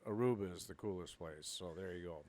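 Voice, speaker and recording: A man's voice: deep voice, brisk, a little mellow. A middle-aged man from Minnesota, a podcast co-host telling rambling stories with frequent laughter. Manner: rambling storyteller, laughs often. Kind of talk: casual chat.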